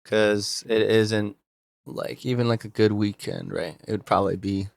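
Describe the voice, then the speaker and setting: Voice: low voice, steady tone, normal speed, vocal fry, dry recording. A person in casual conversation, speaking in a hesitant, noncommittal way. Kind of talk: casual chat.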